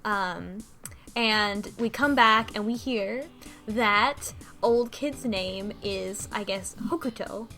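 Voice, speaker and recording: A woman co-hosting a casual podcast, recapping a video game in an animated, amused way. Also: medium pitch, takes it slowly, soft background music. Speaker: podcast co-host.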